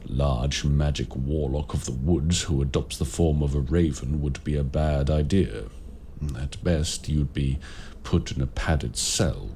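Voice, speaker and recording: A man playing a courteous, grave warlock mentor in raven form, speaking formally and deliberately in an audio roleplay. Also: rumbling low, mellow, slight background noise. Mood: firm, matter-of-fact. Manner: formal, measured, deliberate phrasing. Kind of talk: audio roleplay addressed to the listener.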